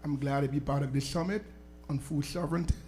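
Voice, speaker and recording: A man's voice: a little high, fairly even intonation, quick pace, warm tone, faint music behind. A man from Liberia, introducing himself on a panel in a formal, courteous way with long listing sentences. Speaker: researcher on a panel.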